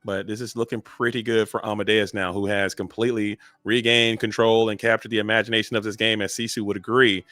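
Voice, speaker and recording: Joking energetic card game commentator man. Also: lowish voice, no room sound. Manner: energetic, joking, drawn-out emphasis.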